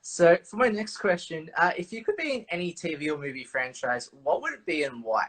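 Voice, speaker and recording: Interviewer w a husky voice, just asking quick questions. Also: mid-range voice, big pitch swings, a bit bright, rough recording. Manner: brief, plain questions.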